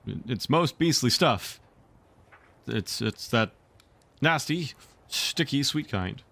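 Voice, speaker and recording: A man acts out a middle-aged father from a children's storybook, vain and blustering. He retorts with pompous indignation, and his pitch moves around in a lively way to cover his cowardice. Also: takes it slowly, gravelly, exaggerated voice. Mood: shuddering.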